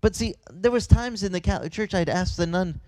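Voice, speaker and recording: Animated, chatty pastor preaching outdoors. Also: male voice, sits high, a little hiss or hum. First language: Italian. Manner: conversational, animated storytelling with quick asides and restarts. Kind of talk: sermon.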